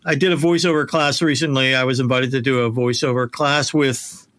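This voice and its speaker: A man's voice: mid-range voice, fairly even intonation, brisk, fairly bright tone. A middle-aged man talking shop casually as an audio producer, in an emphatic, conversational way.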